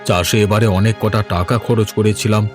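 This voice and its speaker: A man's voice: lowish voice, steady tone, brisk, faint music behind, close to an everyday voice. A man voicing a dejected village potato farmer, lamenting in a cartoon drama.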